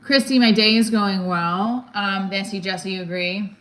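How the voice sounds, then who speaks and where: A woman's voice: mid-range voice, fairly even intonation, measured pace, fairly bright tone. Rambling woman in a roomy, echoey space. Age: 40s. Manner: rambling, blunt, candid, often breaking off mid-thought. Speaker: stand-up comedian livestreamer. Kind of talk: casual livestream chat. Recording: roomy echo, some background noise.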